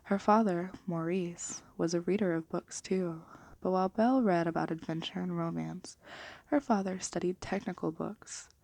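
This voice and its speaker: Breathy, hushed woman speaking soft ASMR. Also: lowish voice, normal speed, dry recording. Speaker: ASMR video host and storybook reader. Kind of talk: soft-spoken and whispered ASMR, chat and bedtime storybook reading.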